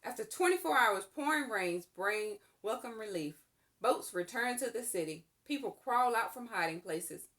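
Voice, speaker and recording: A woman's voice: a little high, animated, normal speed, a bit bright. A woman reading a children's storybook aloud as a storytime reader and explaining what is in it.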